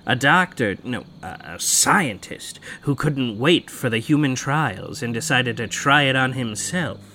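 Cocky guy, animated delivery. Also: medium pitch, unhurried, a little hiss or hum. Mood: storytelling. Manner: teasing, theatrical, casually profane. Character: cocky foul-mouthed man telling a spooky local legend. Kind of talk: naturalistic horror audio drama acting.

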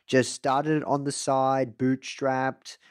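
A man hosting a podcast interview, talking in a relaxed, conversational way with lots of filler phrases. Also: mid-range voice, takes it slowly, smooth, dry recording, studio quality. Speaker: podcast host. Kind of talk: casual interview chat.

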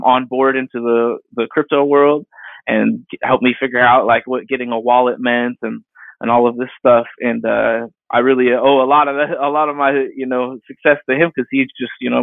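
Man, 30s, gravelly voice, casual and rambling with lots of restarts; thin, phone-like sound. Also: medium pitch, flat delivery, no echo. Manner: rambling, halting, with many fillers and restarts. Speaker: digital artist guest. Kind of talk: casual interview answers. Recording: dry tinny phone-like line.

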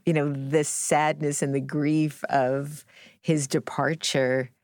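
A middle-aged woman as an interview guest, speaking candidly and deliberately in reflective, personal storytelling. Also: low voice, a little mellow, no room sound, studio quality.

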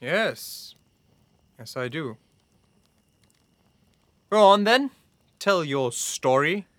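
A stern, deliberate Mongol officer. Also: male voice, a little high, expressive, a bit bright, no echo, heavily acted. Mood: grudging. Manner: threatening, clipped, deliberate. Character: stern, vengeful loyal Mongol officer guarding a prisoner. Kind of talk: dramatic audio drama acting.

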